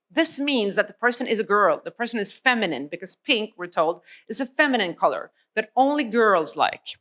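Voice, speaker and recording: A woman's voice: on the high side, a bit bright. A woman giving a public lecture in a conversational way, with many fillers and rhetorical questions. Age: adult with a young child.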